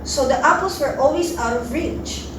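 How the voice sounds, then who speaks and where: A woman's voice: high voice, normal speed. A woman narrating a scripted fairy tale in a readers theater style. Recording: very echoey room, distant mic, clear noise.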